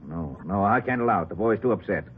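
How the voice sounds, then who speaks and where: A male actor plays a combative, defensive nursery owner in middle age. He has a fairly low American voice and talks in gruff, curt bursts. It comes from an old radio drama with a narrow, slightly noisy sound. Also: expressive, quick pace, close to an everyday voice. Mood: angry, defiant. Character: surly, evasive nursery owner, defensive husband and stepfather. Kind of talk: 1950s radio drama acting. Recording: band-limited old radio recording, background noise.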